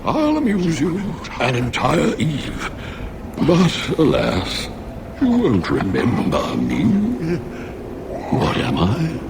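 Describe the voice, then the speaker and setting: Fantasy game spirit beast: sly, world-weary riddler, languid and theatrical, very slow with huge pitch swoops. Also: male voice, wildly swooping pitch, vocal fry, noisy background, full character voice. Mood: amused, sly. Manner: languid, theatrical, drawn-out delivery. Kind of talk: fantasy video game voice acting.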